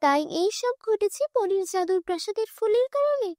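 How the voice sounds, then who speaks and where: A woman's voice: very high voice, lively intonation, normal speed, mellow, dry recording, clean studio sound, pitched above the performer's own voice. A woman voicing a jealous, greedy young flower seller in a cartoon fairy tale, grasping and indignant. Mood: jealous, scheming.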